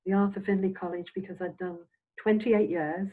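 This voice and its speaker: An older woman from Scotland, a semi-retired minister, reminiscing in a halting, meandering, reflective way as an interview guest. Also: lowish voice, fairly even intonation, crowd noise. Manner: halting, meandering delivery with trailing phrases. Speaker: interviewed Spiritualist minister and medium. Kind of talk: reflective, anecdotal chat. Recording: dull, phone-like audio.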